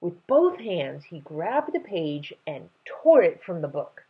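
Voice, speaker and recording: A young woman reads a story aloud in a low, husky voice. Her delivery is lively and expressive. The sound is a little dull, like a webcam in a room at home. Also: takes it slowly. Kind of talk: expressive reading of a children's novel.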